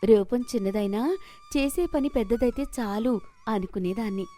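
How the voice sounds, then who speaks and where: Casting: a woman playing a young village bride, composed and quick-witted, fairly high voice, cartoon moral tale. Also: dark tone, lightly acted. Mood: calmly witty. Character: calm, witty, dutiful young daughter-in-law. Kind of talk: animated moral story character voice.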